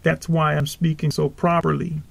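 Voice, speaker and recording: A man's voice: fairly high pitch, flat delivery, normal speed, gravelly, dry recording. A man preaching informally on his own podcast, rambling and correcting himself as he goes. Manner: rambling, self-correcting, earnest. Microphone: home podcast microphone.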